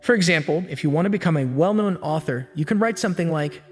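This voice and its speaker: A man giving scripted self-help narration with an even, steady storytelling delivery. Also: a little high, fast talker, breathy edge. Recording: long hall reverb with faint music. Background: faint background music.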